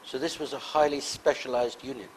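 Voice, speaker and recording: A man interviewing a guest, asking brief, measured questions. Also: mid-range voice, fairly even intonation, slight background noise, poor audio.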